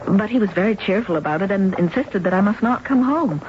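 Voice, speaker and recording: A woman's voice: mid-range voice, lively intonation, brisk, lightly acted. Actress doing anxious reserved young lady in old radio drama, careful polite talk, muffled and noisy. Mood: anxious, subdued. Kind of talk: radio drama acting and narration.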